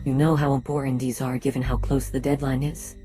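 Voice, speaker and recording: Very deep-voiced, condescending female manager acted by a woman. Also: level tone, fairly quick, mellow, faint music behind. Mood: stern, accusing. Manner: pompous, curt, sarcastic. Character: condescending, self-important female company manager. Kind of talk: dramatic fan audio drama dialogue.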